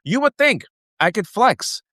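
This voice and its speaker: A man in his forties from the United States, hosting an interview and telling animated personal stories with lots of asides. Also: high voice, a little slow, no echo, clean studio sound. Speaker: host. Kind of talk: conversational interview.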